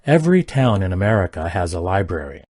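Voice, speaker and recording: A man reading simple English practice sentences aloud, each one twice, in a careful, even delivery. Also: sits low, fast, no room sound, clean studio sound. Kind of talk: slow repeated sentence reading for learners.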